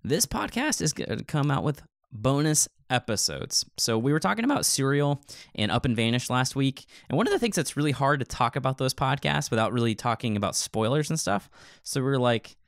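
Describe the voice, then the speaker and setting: A man's voice: medium pitch, animated, fairly quick, dry recording, studio quality. A man co-hosting a podcast in casual chat, telling stories in an informal, filler-heavy way. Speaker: podcast co-host.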